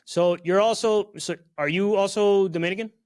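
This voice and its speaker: A young man hosts a casual podcast, his voice sitting a little higher than most men's. He chats loosely and easily, filling his talk with "bro" and "you know what I mean." He comes from South Florida. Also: fairly even intonation, moderate pace, darkish tone. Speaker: podcast host. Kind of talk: casual chat.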